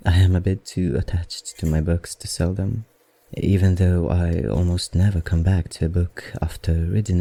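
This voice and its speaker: A man voicing a suave, softly predatory vampire librarian in an intimate ASMR roleplay, with a courtly, teasing manner and an affected accent. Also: heavily acted. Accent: affected, non-native accent. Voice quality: low, dark, gravelly murmur.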